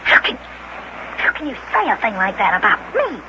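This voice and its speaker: A man playing a small, innocent, excitable boy who exclaims and stammers in 1950s children's radio style. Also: sits high, fairly quick, background noise. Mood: shocked. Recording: muffled old radio recording with music.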